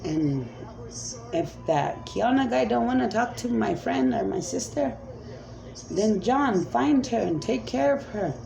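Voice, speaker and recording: A woman's voice: fairly high pitch, animated, a little slow, gravelly, dark tone. A woman speaking as a self-described spirit medium in a rambling, emphatic monologue, pleading and repeating commands.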